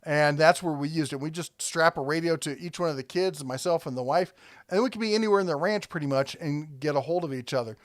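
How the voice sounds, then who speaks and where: A man's voice: fairly high pitch, fast talker, no echo, studio quality. A man hosting a casual product review, talking conversationally and thinking aloud as he rambles along.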